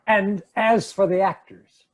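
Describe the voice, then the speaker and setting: Man playing a slow, earnest doubter. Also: sits high, fairly even intonation, slight background noise. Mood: doubtful. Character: earnest, doubtful male theater board member. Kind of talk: farcical comedy acting.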